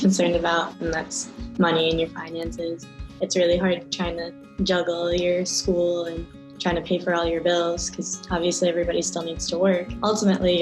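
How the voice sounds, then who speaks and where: A young woman student speaks casually in a low, fairly flat voice. Guitar music plays clearly under her video-call audio. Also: low-quality recording. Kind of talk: casual testimonial.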